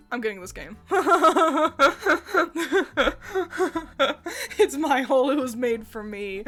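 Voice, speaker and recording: A young woman chatting casually as co-host, gushing with excitement and laughing often. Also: a little high. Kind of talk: casual chat.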